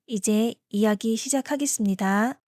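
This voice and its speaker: A woman's voice: fairly high pitch, unhurried, darkish tone, dry recording, studio quality. A woman hosting, reading a scripted introduction to a story. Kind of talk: scripted narration.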